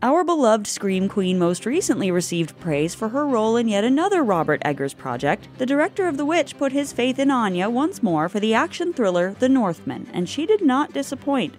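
A woman giving scripted narration for a video essay, in a measured way. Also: a little high, big pitch swings, a little mellow, soft background music.

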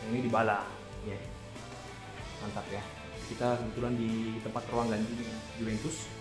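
A man's voice: on the low side, flat delivery, moderate pace. A man chatting casually and in an upbeat, slangy way as a travel vlogger, speaking to viewers like pals into a phone held at arm's length. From Indonesia. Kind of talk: casual vlog chat to viewers. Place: museum, stadium stands and changing room. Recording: loud music over phone vlog audio. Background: loud music.

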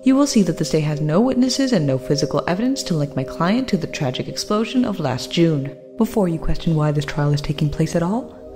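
A woman playing a sharp, defiant defense attorney, arguing formally in a comic-book courtroom audio drama. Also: low-pitched. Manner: formal, argumentative. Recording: music behind the voice.

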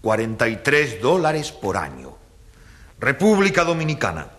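Forceful, earnest male professor. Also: on the low side, breathy edge, exaggerated voice. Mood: grave, emphatic. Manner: didactic, rhetorical questions. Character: earnest, emphatic male professor arguing for landless peasants. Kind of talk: dramatized radio debate, oratorical.

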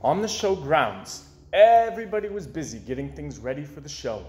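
A man's voice: a little high, animated, moderate pace, darkish tone, a little hiss or hum. A man, reading a children's story aloud with dramatic emphasis. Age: 20s. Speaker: storybook reader. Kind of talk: expressive children's read-aloud narration. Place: small indoor room with bookshelves. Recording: roomy indoor echo.

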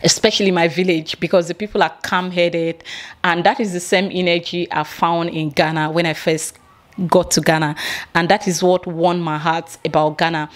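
A woman's voice: on the low side, little pitch movement, normal speed. Nigerian woman ranting to camera. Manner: indignant, emphatic, gestures while ranting. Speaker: vlogger. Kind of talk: opinion vlog to camera. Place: small indoor room.

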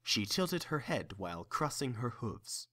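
A man's voice: mid-range voice, sing-song, measured pace, fairly bright tone. A man narrating a scripted story.

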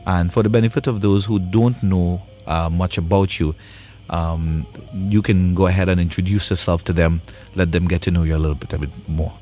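A man with a low-pitched voice hosts a radio interview. His phrasing is conversational and halting, feeling its way from point to point. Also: fairly even intonation, mellow, soft background music. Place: radio studio.

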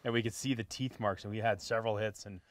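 A man's voice: fairly low pitch, fast, dry recording. A man in his forties hosting a fishing show, chatting casually about his gear outdoors on a boat with a lapel mic. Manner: relaxed and chatty, tags lines with "right?".

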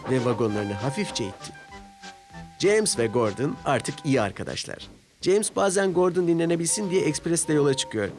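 A man's voice: on the high side, very animated, moderate pace, a little mellow, soft background music. A man narrating a scripted children's story as a storyteller.

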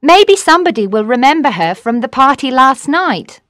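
Woman acting practical concerned young female friend, high animated voice, audiobook dialogue. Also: moderate pace, no room sound. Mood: concerned, polite.

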